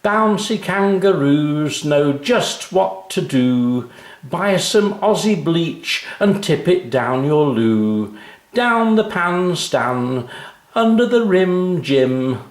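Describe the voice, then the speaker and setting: Man putting on a high, bouncy little girl. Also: big pitch swings, slow, echoey room. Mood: sing-song, gleeful. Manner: loud, sing-song slogan chanting. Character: bouncy, stubborn little girl obsessed with adverts. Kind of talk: children's storybook character voice.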